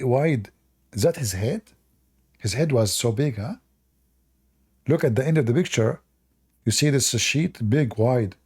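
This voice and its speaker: A man hosts a live-stream debate and talks straight to his chat. He is sarcastic and mocking, with a gravelly voice and a dark, low-lit tone at a middling pitch. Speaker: livestream debate host.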